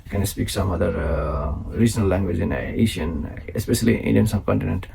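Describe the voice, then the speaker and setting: Gravelly-voiced man hosting. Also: deep voice, a crowd in the background. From South Asia. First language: Maithili. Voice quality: gravelly, husky. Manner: halting, many fillers and restarts. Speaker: host. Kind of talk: solo show introduction.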